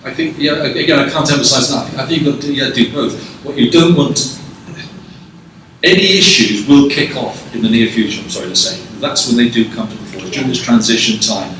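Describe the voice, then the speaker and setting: A man giving a conference presentation and taking questions, measured and prone to restarts, recorded from a distance in a conference hall. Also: mid-range voice, a bit bright, a little hiss or hum. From England. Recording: echoey hall, distant mic.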